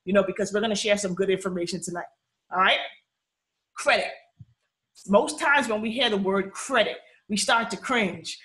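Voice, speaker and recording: A woman's voice: mid-range voice, a bit bright, poor audio. Upbeat Bahamian woman hosting a webinar. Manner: chatty, upbeat, frequent "you know" and "okay?" check-ins. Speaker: personal finance webinar host. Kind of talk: live webinar presentation.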